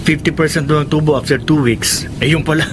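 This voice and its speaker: Higher-voiced apologetic male buddy played by an actor. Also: steady tone, a little fast, noisy background, lightly acted. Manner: conciliatory, sympathetic. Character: apologetic, easygoing male friend and co-worker. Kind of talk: radio drama acting.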